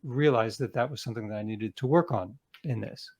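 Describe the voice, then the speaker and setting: A man's voice: medium pitch, a little fast, darkish tone. A man speaking as a guest author in a conversational interview, explaining things reflectively with examples.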